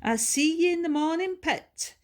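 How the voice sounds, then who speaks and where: Storybook older woman, acted by a female reader, hearty, folksy, lilting dialect, affectionate, raised pitch. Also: expressive, normal speed.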